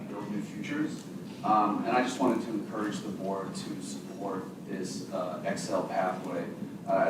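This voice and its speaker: A man speaking at a board meeting as a community organization's chief of staff, hesitant with many fillers. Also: low-pitched. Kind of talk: public comment at a board meeting. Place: large meeting room. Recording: echoey hall, far from mic, loud noise.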